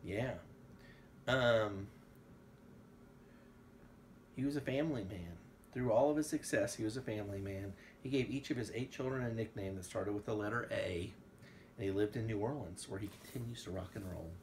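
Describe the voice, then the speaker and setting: A man's voice: fairly low pitch, moderate pace, pauses often, slight background noise. A man, a father, reading a book aloud to a child in a casual, playful way with asides. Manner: playful, breaks into song and asides. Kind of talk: casual read-along of a picture book with sung snippets.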